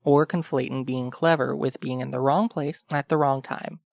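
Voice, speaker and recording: An actor playing a flippant young male revolutionary in an audio drama, deadpan and sardonic, with a creaky voice. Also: medium pitch, moderate pace, no echo, lightly acted. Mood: wry.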